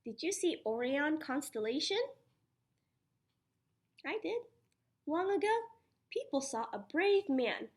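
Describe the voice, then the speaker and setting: A woman preschool teacher reading a children's science picture book aloud, putting questions to her young listeners in an animated, encouraging way. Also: sits high. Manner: child-directed, asks questions and answers them herself.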